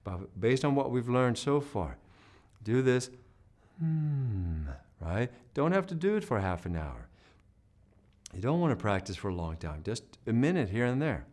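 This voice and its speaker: A man's voice: medium pitch, big pitch swings, a little slow, a little mellow. A man giving an online singing lesson, explaining patiently and checking in with "right?". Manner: demonstrates hoarse and humming sounds mid-explanation. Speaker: singing teacher. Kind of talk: instructional video lesson.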